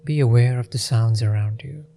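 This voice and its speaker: A man leading a guided meditation in a gentle, unhurried manner with long pauses. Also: mid-range voice, gravelly, a little hiss or hum.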